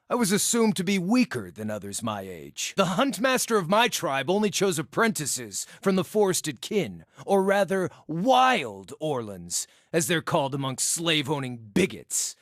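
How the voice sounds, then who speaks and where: A man's voice: on the high side, no echo, studio quality. A man voicing a short, scarred, irreverent wild druid in a fantasy game, with a sardonic, playful and theatrical delivery. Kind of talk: scripted fantasy game dialogue.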